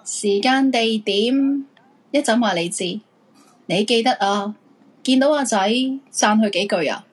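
A woman's voice: a little high, measured pace, rough recording. An actress playing a nagging but caring middle-aged wife and mother in a live radio drama, with a Hong Kong Cantonese accent. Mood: caring, insistent.

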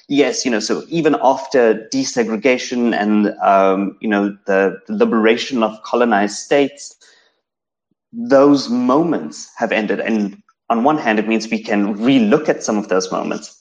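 A man with a South African accent lectures through slides in a somewhat low voice, pausing often with fillers and restarting his sentences. He sounds like an academic leading a seminar. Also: rough recording. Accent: South African English. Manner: hesitant, many fillers and restarts.

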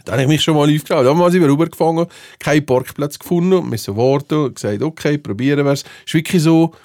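A man's voice: fairly high pitch, quick pace, no room sound. Swiss man telling a story, very dry sound. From Switzerland. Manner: animated storytelling with slangy swearing and acted-out quotes. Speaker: podcast host telling a personal story. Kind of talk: casual anecdote in conversation.

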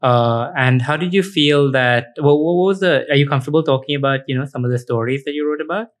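Fast-talking man hosting an interview. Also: mid-range voice. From India. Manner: quick, probing, encouraging questions. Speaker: podcast host. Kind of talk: conversational interview.

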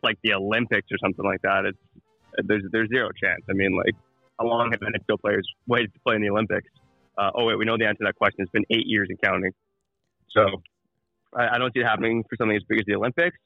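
A man's voice: fairly low pitch, no echo. A man co-hosting a casual sports talk show, blunt and conversational with some swearing, on a dull lo-fi mic. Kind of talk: casual sports opinion chat.

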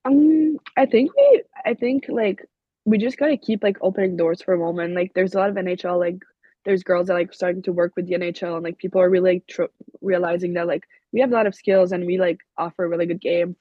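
A young Québécois woman with French as her first language talks through her answers, filling nearly every pause with "like." Her voice comes through husky and a little breathy. The lo-fi recording sounds dull and muffled, and the room is dry. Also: fairly low pitch, dry recording. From Quebec. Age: young adult. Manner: leans heavily on "like" as a filler. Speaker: college hockey player guest. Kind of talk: casual interview answers.

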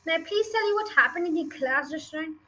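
A woman's voice: very high voice, animated, a little fast, pushed and bright, a little hiss or hum, pitched above the performer's own voice, exaggerated voice. A woman voicing a polite, determined schoolteacher who pleads respectfully but firmly in a storybook reading. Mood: earnest, urgent.

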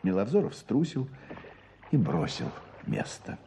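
An actor playing a storyteller in a radio play, narrating village hardship with expressive, deliberate phrasing. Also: male voice, on the low side, a little hiss or hum, exaggerated voice. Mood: reflective.

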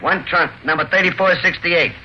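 An actor playing a blunt working-class baggage porter in an old radio drama, gruff, slangy and matter-of-fact. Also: male voice, sits high, normal speed, close to an everyday voice. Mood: gruff insisting. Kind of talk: old-time radio character acting. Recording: noisy, muffled old radio recording.

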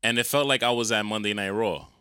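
A man's voice: fairly low pitch, fast, bright, forceful tone, clean tone, no echo, studio quality. A man co-hosting a podcast in casual chat, telling stories with slang and swearing. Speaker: podcast co-host.

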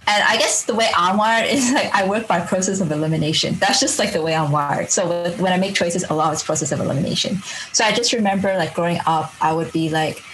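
Young woman from Singapore, low voice, chatty and reflective, faint background hiss. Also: fast talker, a bit bright, low-quality recording. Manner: chatty, many fillers like "like", laughs often. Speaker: podcast guest. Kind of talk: reflective conversational storytelling.

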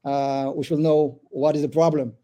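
Halting man from Ethiopia, dark voice. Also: a little high, little pitch movement. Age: 40s. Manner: halting, many "uh" fillers. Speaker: government official, guest. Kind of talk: podcast interview.

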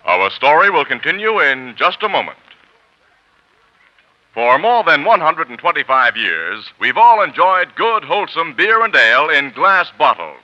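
Old time radio ad guy, really bright punchy voice, big lively swings, muffled broadcast. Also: a little high, unhurried. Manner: emphatic, polished sales delivery. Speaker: radio announcer. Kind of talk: 1940s radio commercial, scripted pitch.

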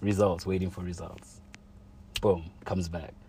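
A man's voice: deep voice, flat delivery, a little slow, darkish tone, slightly rough. A young man telling a personal story in casual chat, full of slang and fillers like "like" and "you know". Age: young adult.

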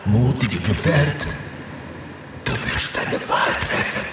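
Ein Mann spielt in einem Fantasy-Hörspiel einen Seher, der in unheilvoller Trance stockend und bedeutungsschwer Visionen verkündet. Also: male voice, medium pitch, lively intonation. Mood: solemn prophecy. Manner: halting, portentous fragments with dramatic outbursts. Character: male seer in a dark, ominous prophetic trance. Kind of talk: fantasy audio drama acting. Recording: cavernous echo, distant, heavy background noise.

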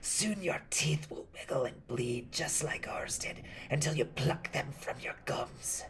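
Man voicing a whispering, raspy, eerie old woman. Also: fairly high pitch, expressive, a bit bright, voice pushed up. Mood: eerie, foreboding. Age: older. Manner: slow, ominous, breathy. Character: frail, eerie old village woman, haunted and grim.

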